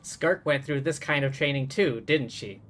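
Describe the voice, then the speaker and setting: A man's voice: medium pitch, normal speed, noisy background. A man streaming a video game live, commenting and reading dialogue aloud.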